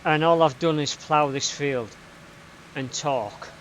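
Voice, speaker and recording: A man's voice: on the high side, slow pace, fairly bright tone, slight background noise, low-quality recording. A man with a Yorkshire accent chatting casually while playing a farming game, rambling and often tagging on "right?". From Yorkshire, England. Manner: chatty, rambling, tag questions. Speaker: gaming YouTuber. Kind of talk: casual rambling commentary over gameplay.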